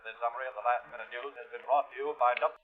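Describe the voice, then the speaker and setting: An actor playing a formal, declamatory announcer and newscaster in 1940s radio style. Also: male voice, mid-range voice, steady tone, rapid-fire, lightly acted. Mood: brisk, formal. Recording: tinny vintage radio recording, music behind.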